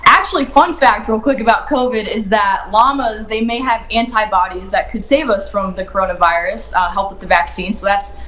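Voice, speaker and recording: A woman's voice: mid-range voice, normal speed, hardly any pauses, sharp tone, a little hiss or hum. Earnest young woman in an echoey room. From United States, grew up in Ohio. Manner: earnest and upbeat, thinks aloud. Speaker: children's book author, guest. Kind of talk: remote interview answers.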